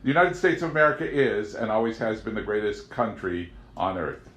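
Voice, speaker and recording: An exasperated middle-aged patriot of an agent, acted out by a male reader, preaching forcefully in audiobook style. His pitch pushes up above the narration and he gets loud, in a room with noticeable echo. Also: expressive, a little fast. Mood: outraged. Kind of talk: audiobook character voice. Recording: roomy, echoing home recording.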